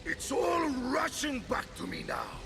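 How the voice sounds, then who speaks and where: A blustering war god for a video game. The voice sits high and leaps about wildly, with a gritty creak. He is vain and touchy, comically dramatic, and clear music plays behind him. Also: huge pitch swings, measured pace, shouting, heavily acted. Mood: roaring, exultant. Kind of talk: video game cutscene acting.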